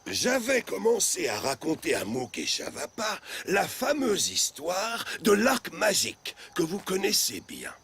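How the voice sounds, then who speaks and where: A man voicing a kindly, patient village storyteller in a French children's cartoon, with an expressive, measured delivery. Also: high voice, exaggerated voice. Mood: storytelling. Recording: music behind the voice.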